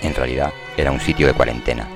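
Very deep-voiced male narrator over music. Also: fairly even intonation, quick pace. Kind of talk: scripted dramatized novel narration. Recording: prominent music under the voice.

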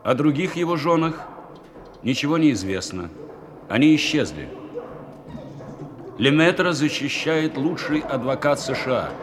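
An actor voicing a newspaper reporter in a radio play, reading a court report in a formal, announcing way. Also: male voice, a little high.

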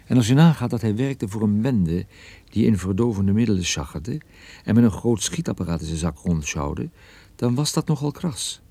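An actor playing a wry, sardonic male private detective, narrating his own case in a measured radio drama style. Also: fairly low pitch, lively intonation, gravelly, dark tone, no echo. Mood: wry, reflective.

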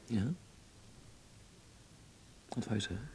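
An actor playing a guarded, observant young stage actor in love, talking in a halting, low-key, husky way in a radio play. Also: male voice, a little mellow, slight background noise, low-quality recording. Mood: dry, affectionate. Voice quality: husky, gravelly. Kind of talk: Dutch radio play acting, intimate dialogue.

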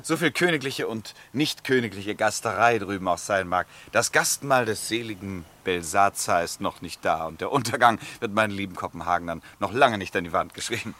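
An actor playing a good-humoured middle-aged count and husband in a literary radio drama, with urbane, formal period diction and wry amusement. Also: male voice, fairly low pitch, lively intonation, fairly quick, hardly any pauses, bright, forceful tone.

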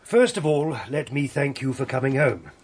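An actor playing a mild, courteous older widowed father in a radio drama, speaking in a measured, gently formal way. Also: mid-range voice, lively intonation, natural voice. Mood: warm, hesitant. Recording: faint background noise.

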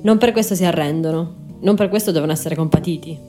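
A woman's voice: low-pitched, quick pace, mellow, faint music behind. A woman in her twenties hosting a podcast, reading a scripted narration. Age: 20s. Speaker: podcast host and writer.

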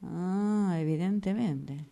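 A woman's voice: on the low side, slow pace, vocal fry, warm tone. A woman reading a gloomy, brooding tramp in an amateur radio play.

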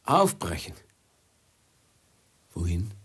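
An actor playing a dazed, fearful and fatalistic merchant master in a German radio play, halting and bewildered, with a gravelly, husky voice. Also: male voice, sits low, big pitch swings, a bit bright, full character voice.

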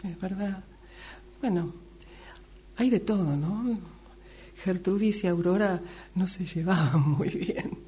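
Mellow middle-aged Argentine woman, humming recording. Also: lowish voice, takes it slowly, pauses often. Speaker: radio drama workshop participant. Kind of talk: casual face-to-face exercise chat. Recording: humming, noisy amateur recording.

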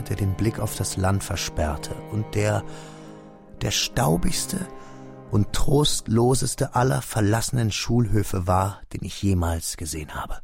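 A man narrating a literary radio drama in a measured, reflective way, as a young man recalling a friend, in a husky, creaky voice. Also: fairly low pitch. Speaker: first-person narrator.

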